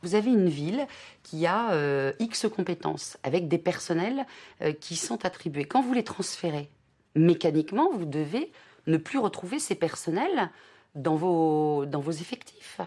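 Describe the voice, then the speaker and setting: A woman explaining her view in an interview, halting and emphatic, as a local politician. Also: fairly low pitch, lively intonation, dark tone, slightly rough. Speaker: opposition local councillor.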